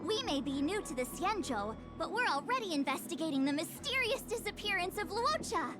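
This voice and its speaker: Bubbly young girl adventurer from a game, super high n bouncy, music behind. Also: big pitch swings, a little fast. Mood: excited, confident. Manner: bubbly, exclamatory, eager. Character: perky, boastful young girl adventurer, playing detective. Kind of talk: video game voice acting.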